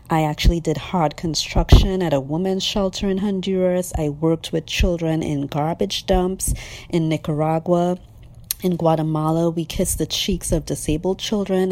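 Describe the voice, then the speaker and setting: A woman in her thirties from Trinidad and Tobago speaks as an interview guest. Her voice sits low and mellow. She answers in an earnest, measured way. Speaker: guest, business owner.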